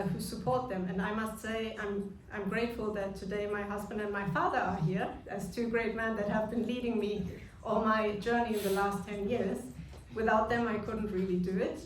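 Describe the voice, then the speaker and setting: A woman in her thirties from Germany with a German accent gives an earnest awards-evening speech, pausing often on fillers. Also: medium pitch, slight background noise. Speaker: award speaker. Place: large echoey function room. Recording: echoey room, distant mic, music behind.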